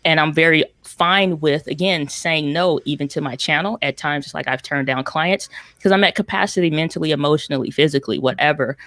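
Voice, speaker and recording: A woman's voice: sits low, fairly quick, few pauses, fairly bright tone, dry recording. A woman speaking as a panelist, emphatic and conversational, with many "it's like" asides. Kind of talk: panel discussion.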